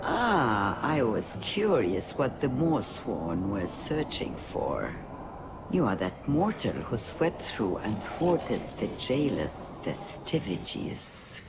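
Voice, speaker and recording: An actor voicing a sly, haughty otherworldly broker in a video game, formal and coolly condescending. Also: male voice, fairly low pitch, wildly swooping pitch, slow, heavily acted. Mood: sly, intrigued. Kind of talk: video game character dialogue. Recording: game audio with background ambience noise.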